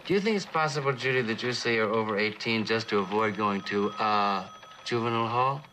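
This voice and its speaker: An actor playing a wry, unhurried police lieutenant in an old television drama, dry and teasing. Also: male voice, on the low side, sharp tone. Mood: probing. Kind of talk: 1960s television drama acting. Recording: faintly noisy old TV soundtrack.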